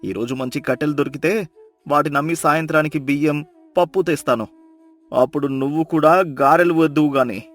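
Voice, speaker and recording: A man's voice: fairly high pitch, brisk, soft background music, natural voice. A man playing a meek, weary, poor woodcutter in a folk tale, pleading and grumbling. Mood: groggy, resigned.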